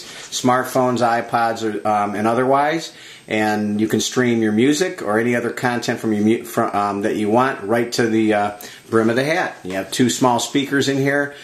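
Echoey man giving an informal product demo. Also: fairly low pitch. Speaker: product demonstrator. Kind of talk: informal unscripted product demonstration. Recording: echoey room.

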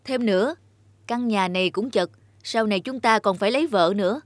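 A woman's voice: mid-range voice, a little fast, no echo, close to an everyday voice. A woman voicing a bossy, self-serving young man, the eldest brother in a cartoon fairy tale, firm and authoritative. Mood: calculating. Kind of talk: animated fairy-tale dubbing.

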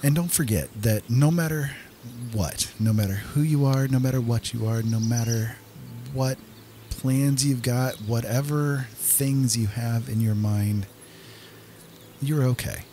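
A man's voice: mid-range voice, measured pace, warm tone, slight background noise. A man giving casual gameplay commentary as the host of a gaming video, talking directly to viewers.

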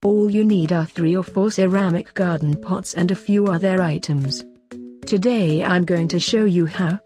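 A woman narrates a scripted tutorial in a slightly low, mellow voice. Her delivery is measured and instructional, moving step by step. Instrumental music plays behind her. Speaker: host and narrator of a craft tutorial. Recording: voice over an instrumental music bed. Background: instrumental pop music.

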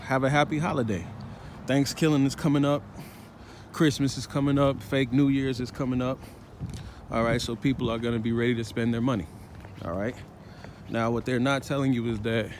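A man talks while walking down a city sidewalk, preaching his views in a street-slang manner and checking in with "all right?" and "man" again and again. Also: medium pitch. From Chicago, United States. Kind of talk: walking vlog commentary. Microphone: phone held while walking. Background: faint traffic and street ambience.

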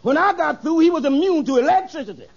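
An actor playing a brash, shady, inept lawyer in a 1950s radio sitcom, telling stories with cocksure briskness. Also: male voice, extremely high pitch, lively intonation. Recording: dull old radio recording.

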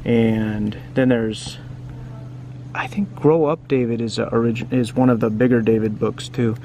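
A man's voice: mid-range voice, steady tone, unhurried, mellow, slight background noise. A man doing a casual read-aloud for children, chatting informally and often asking the listener questions. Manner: informal, asks the listener frequent questions and tag questions. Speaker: children's book reader and teacher.

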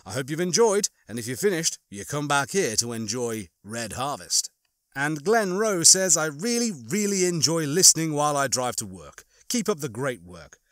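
Upbeat male channel host, bright voice. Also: a little high, sing-song, normal speed, dry recording, studio quality. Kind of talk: chatty address to listeners, reading out comments.

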